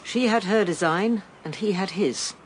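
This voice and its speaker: A measured female voice acted by a woman, thoughtful and composed. She is a wise, calm sister in a radio play, with faint ambient noise behind her. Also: medium pitch, lightly acted. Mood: calm, philosophical. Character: wise, calm sister philosophising about love and fate. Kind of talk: radio drama acting.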